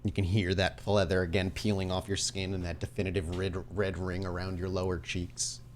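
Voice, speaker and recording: A man's voice: deep voice, little pitch movement, a little fast, slight background noise. A man working as game master, narrating tabletop scenes descriptively.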